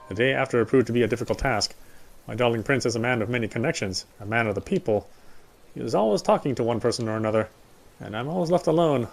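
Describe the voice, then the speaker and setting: A man reads first-person game narration aloud in a live, dramatic style. His pitch sits in the middle of the male range, and faint music plays behind him. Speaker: let's player reading game narration aloud.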